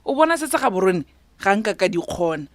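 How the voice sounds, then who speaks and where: An actress playing an exasperated, dramatic middle-aged auntie with a Botswana accent in a radio drama, exclaiming and scolding. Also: female voice, medium pitch, very animated, fast talker, no echo, close to an everyday voice. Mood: alarmed, scolding. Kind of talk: radio drama acting, conversational.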